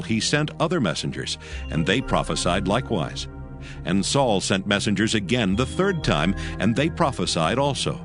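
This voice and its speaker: Low-voiced male scripture narrator over music.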